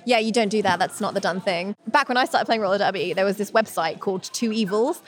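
Fast, chatty woman skater. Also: mid-range voice. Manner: chatty, with lots of fillers. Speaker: roller derby player. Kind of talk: casual interview anecdote.

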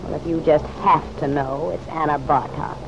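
A woman's voice: low voice, steady tone, moderate pace. A woman playing a defiant, working-class American woman with a curt, slangy, sardonic way of talking, in an old-time radio drama. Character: hard-boiled, sharp-tongued working-class woman, defiant. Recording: muffled vintage radio, faint noise.

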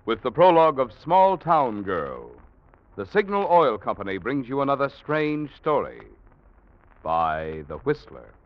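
Hugely animated American radio announcer man. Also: mid-range voice, measured pace, no echo. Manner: emphatic, polished salesman delivery. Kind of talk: 1940s radio sponsor announcement, scripted. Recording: dull old radio recording.

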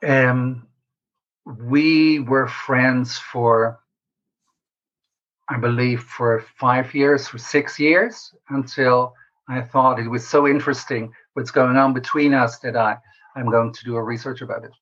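A man answering interview questions as a researcher, explaining in a measured way with pauses mid-sentence. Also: mid-range voice, steady tone, fairly bright tone. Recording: slightly dull lo-fi call audio.

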